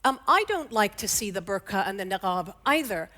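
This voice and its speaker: A woman's voice: mid-range voice, animated, fairly quick, pushed and bright. A British woman on a discussion panel, reasoning thoughtfully and repeating words as she works through a point. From Britain. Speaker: panel guest.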